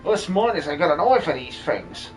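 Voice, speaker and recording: Game fan dub role: cowardly muscle-bound miner, played by a man, high-pitched, broad working-class speech, slight background noise. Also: very animated, moderate pace, low-quality recording. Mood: boastful, eager. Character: cowardly, boastful muscle-bound male miner, rough and cheery.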